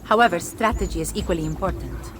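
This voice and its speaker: A woman playing a shrewd, poised Italian noblewoman in a video game, cool and deliberate, with an Italian accent. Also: lowish voice, lively intonation, noisy background, close to an everyday voice. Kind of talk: video game dramatic acting. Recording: long reverb under clear music.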